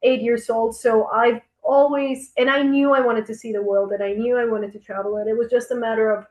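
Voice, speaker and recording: A woman from Costa Rica, a travel company co-founder, talking casually on a video call and often pausing to rephrase her thoughts. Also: fairly high pitch, steady tone, a little mellow, reverberant, poor audio. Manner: reflective, circling back to rephrase.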